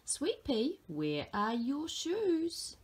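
A woman voicing an affectionate, questioning storybook mother in a sing-song, drawn-out manner. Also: high voice, huge pitch swings, a little hiss or hum, voice pushed up, heavily acted.

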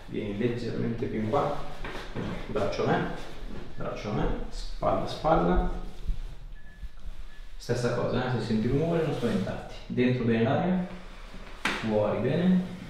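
A man's voice: mid-range voice, lively intonation, unhurried, pauses often. A man working as a chiropractor, giving short, reassuring instructions to a patient during hands-on treatment. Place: small clinic treatment room. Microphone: camera a few steps away. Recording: echoey room, distant mic, background noise.

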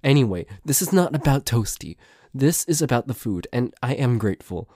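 A man's voice: mid-range voice, big pitch swings, moderate pace, dark tone, no room sound. A man playing a flustered, grumbling but secretly affectionate boyfriend in an ASMR roleplay, stammering and grudging as he talks. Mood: flustered, defensive. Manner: stammering, hesitant, grudging, sweary.

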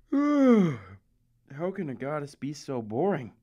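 A woman's voice: low voice, huge pitch swings, slow, dark tone, muffled recording, full character voice. A woman playing a snarky, flirty goddess narrator in an audio drama, teasing and sardonic. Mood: teasing, bored.

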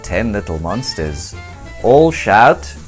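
An expressive storybook reader with a very slow, theatrical delivery and a hugely animated pitch. The voice builds suspense deliberately for young listeners, with music playing behind it. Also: slightly rough. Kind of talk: expressive children's read-aloud. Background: music and sound effects.

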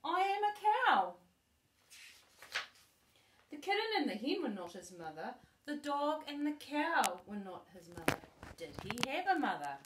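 Middle-aged woman, expressive storytime reading, slightly high pitch, deliberate emphasis, echoey living room. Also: slight background noise. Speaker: grandmother reading a storybook to a child. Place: living room sofa, indoors.

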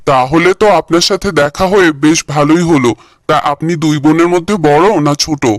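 Man voicing a polite, fairly high-voiced village matchmaker. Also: moderate pace, dry recording, clean studio sound, close to an everyday voice. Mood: polite, curious. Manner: polite, inquisitive. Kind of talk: Bengali cartoon dubbing.

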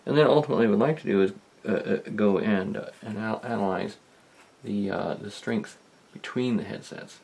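A man giving a casual hands-on demonstration, talking in a halting, rambling, self-deprecating way. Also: on the low side, creaky, darkish tone. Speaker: host of a vintage electronics video. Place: indoor electronics workbench.

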